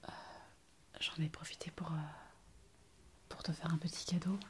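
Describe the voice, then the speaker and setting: A woman's voice: low-pitched, little pitch movement, moderate pace, slight background noise, natural voice. A woman playing a tender, regretful mother and doctor in a whispered ASMR roleplay, hesitant and earnest.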